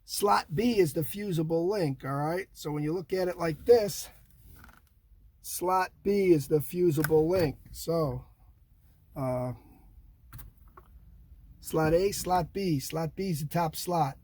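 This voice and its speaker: A man's voice: on the high side, slow pace, long pauses, a little mellow. An older man giving a casual hands-on install demonstration in short, folksy, punchy phrases, recorded inside a vehicle. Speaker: DIY vlog host. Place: inside a parked Jeep.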